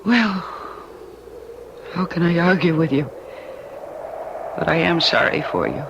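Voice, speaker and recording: A muffled, noisy vintage radio recording carries a sympathetic young woman. Her delivery is theatrical and very lively in pitch, taken at a slow, deliberate pace. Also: creaky, full character voice. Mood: concerned, pleading. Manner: expressive, theatrical, unhurried. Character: kind, sympathetic young woman guest in Spain. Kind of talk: old-time radio drama acting.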